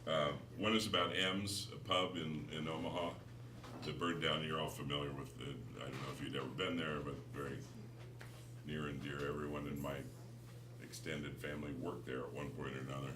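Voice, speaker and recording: An American man, a poet in middle age, reading his own work to listeners in a measured, deliberate, dramatic way. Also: a bass voice, flat delivery. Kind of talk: live poetry reading with spoken introductions. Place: live reading in a room before an audience. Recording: roomy, distant mic, faint noise.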